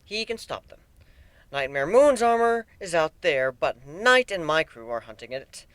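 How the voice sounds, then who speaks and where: A man narrating fan fiction as an amateur dramatic reader, theatrical and expressive. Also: a little high, measured pace, clean tone, no room sound.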